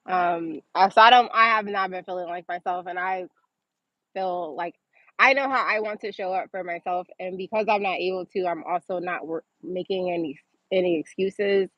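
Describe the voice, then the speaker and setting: A woman's voice: lowish voice, normal speed, dry recording, highs cut off. A woman from Massachusetts hosting a casual livestream, chatting informally as she reads and answers viewer comments. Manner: informal, chatty, often laughing as she talks. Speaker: livestream host.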